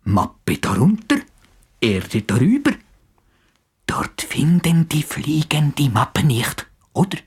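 An actor playing a timid, confused male mental patient in a radio drama, breathy and disjointed, referring to himself in the third person. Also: fairly high pitch, sing-song, slow, darkish tone, heavily acted. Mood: agitated, fearful.

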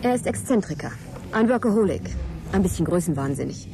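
Guarded, quick-speaking female scientist. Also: on the low side, lively intonation, gravelly. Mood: reflective, matter-of-fact. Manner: guarded, direct, questioning. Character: guarded divorced female scientist, sceptical, frank, wary. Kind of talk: naturalistic radio drama dialogue. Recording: crowd chatter behind, café scene. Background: café crowd chatter.

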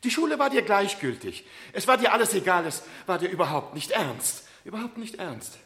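Flustered, pleading father, high-pitched. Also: sing-song, a little fast, fairly bright tone, heavily acted. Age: middle-aged. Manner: hesitant, stammering, pleading. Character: flustered, pleading middle-aged father, anxious and evasive. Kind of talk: radio play acting, 1970s.

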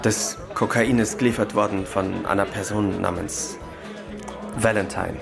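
An actor playing a bereaved, bitter husband, halting and intense, in a radio crime drama. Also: male voice, fairly low pitch, steady tone, vocal fry, over music. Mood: grieving.

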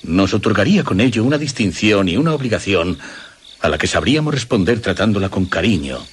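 Actor as low-voiced, courtly Castilian father. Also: expressive, fairly quick, slight background noise, lightly acted. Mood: courteous concern. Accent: Castilian Spanish. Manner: formal, gallant, theatrical diction. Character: courtly, kindly aristocratic father of a young woman. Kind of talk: radio drama acting.

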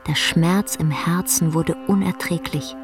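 A woman narrating a radio drama in a measured, hushed literary storytelling manner. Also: lowish voice, fairly even intonation. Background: plucked guitar music.

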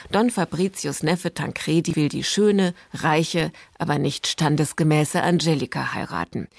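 A woman delivers a scripted radio introduction. Her voice is deep for a woman, with marked creak, and it is recorded in a very dry studio. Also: no room sound. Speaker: radio presenter.

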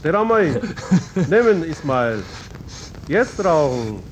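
Slow, swooping, simple-hearted foreign harpooner. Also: male voice, a little high, very animated, background noise. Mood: coaxing. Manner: broken pidgin speech in short, emphatic bursts. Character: tattooed South Seas harpooner, simple, honest, fierce-hearted man. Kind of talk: radio play character acting.

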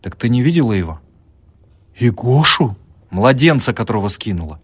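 A man's voice: mid-range voice, very animated, normal speed, breathy edge, no room sound, pitched above the performer's own voice. A man reading an audiobook, voicing a young medical student who talks in a flustered, protesting way. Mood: probing.

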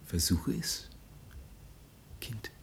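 An actor playing a solemn, quietly insistent idealist who persuades with deliberate earnestness, in 1950s German radio drama style. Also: male voice, in a whisper. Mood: quietly persuasive.

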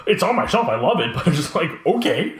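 Man, late twenties, rapid-fire casual talker, animated storyteller, echoey room sound. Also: on the high side. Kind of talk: casual chat about collectibles. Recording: roomy, echoing room sound.

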